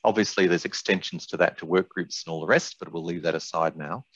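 An older man presenting a webinar in a measured, explanatory way, as an expert walking through software. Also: deep voice. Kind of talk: webinar presentation with product demonstration. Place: indoors, small room on a video call. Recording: dull, roomy webinar audio.

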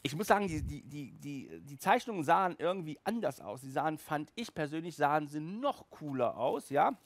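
Man, middle-aged, slightly high voice, dry close sound, colloquial fan-style monologue, enthusiastic. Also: big pitch swings, moderate pace, dry recording. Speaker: host of a collector video show. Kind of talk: casual monologue to camera about nostalgic collectibles. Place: small room with shelves, indoors. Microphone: headset.

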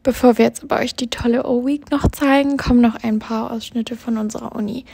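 Husky, gravelly young woman narrating. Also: on the high side, steady tone, a little fast, dark tone. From southwestern Germany. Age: 20s. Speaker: student ambassador vlogger. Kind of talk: voice-over narration.

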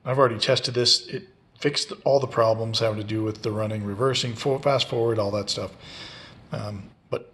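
A man's voice: on the low side, steady tone, moderate pace, fairly bright tone. A man talking informally and in a laid-back way as he explains a hands-on repair for his DIY channel, recorded indoors on a handheld camera.

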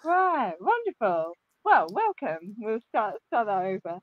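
A woman's voice: medium pitch, big pitch swings, a little slow, no room sound, phone-quality sound. A young woman hosting a livestream from home, chatty and apologetic, laughing often as she talks into a desk mic. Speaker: livestream host. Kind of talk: casual livestream chat. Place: home room with shelves. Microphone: desk microphone on a stand.

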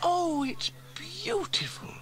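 A man's voice: extremely high pitch, huge pitch swings, moderate pace, a bit bright, a little hiss or hum, pitched above the performer's own voice, exaggerated voice. A man voicing an earnest, eager little girl with emphatic delivery in a children's story. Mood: delighted.

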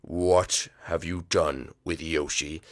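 Brief: a performer doing a booming, slow-talking monster king with a deep voice, sounding unlike the performer's own. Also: steady tone. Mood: stern. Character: huge male turtle-dragon king, stern father.

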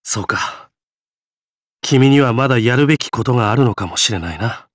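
A man playing an affable, gentle gentleman adventurer in a game, speaking politely and breezily. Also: medium pitch, expressive, normal speed, no echo, clean studio sound, natural voice. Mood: easygoing. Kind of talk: game character voice lines.

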